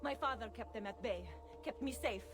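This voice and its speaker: A woman's voice: a little high, moderate pace, lightly acted. Woman acting haughty, bitter duchess. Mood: frantic, imperious. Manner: imperious, theatrical. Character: haughty, paranoid, bitter Italian noblewoman. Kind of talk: video game cutscene acting. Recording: music behind the voice.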